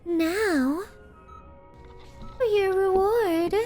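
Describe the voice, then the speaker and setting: A woman's voice: extremely high pitch, extremely slow, full character voice. A woman playing a doting, sinister, genteel female captor in a theatrical ASMR roleplay, with an ornate, tender, possessive way of speaking. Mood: pleased, coaxing. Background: background music.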